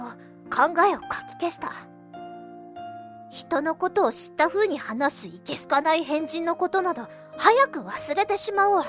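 A woman playing a guarded, blunt, irritable high-school girl in an anime-style voice drama with first-person narration.

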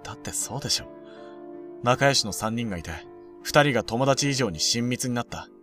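Slightly distant over background music, a man acts out an earnest high school boy in a romantic-comedy audio drama. His delivery is lively and expressive, with the casual politeness of a junior talking to his senior. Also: fairly quick, lightly acted. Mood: bitter, resigned.

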